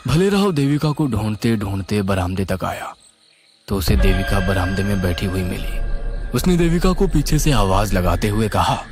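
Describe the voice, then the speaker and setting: Male horror narrator: lowish pitch, animated delivery, dramatic and suspenseful, clear music bed underneath. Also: moderate pace. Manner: suspenseful, expressive. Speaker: storyteller, narrator.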